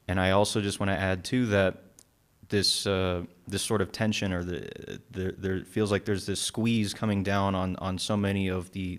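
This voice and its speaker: Deep, flat-voiced man at a town hall. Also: clear, resonant tone. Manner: sincere, hesitant, many fillers. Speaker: homeless outreach worker. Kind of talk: public comment at a town hall. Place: community meeting hall.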